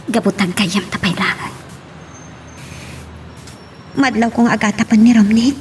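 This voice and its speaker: A woman's voice: a little high, darkish tone, close to an everyday voice. An actress playing an earnest, emotional young woman caught up in romance in an Ilocano radio love drama. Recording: music behind the voice.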